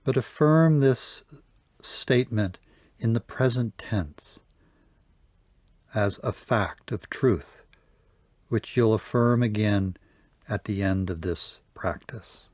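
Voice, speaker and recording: A man leading a guided yoga nidra meditation, speaking softly and calmly with long pauses. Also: fairly low pitch, no room sound, clean studio sound. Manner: slow, soft, calm guiding with long pauses. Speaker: meditation teacher.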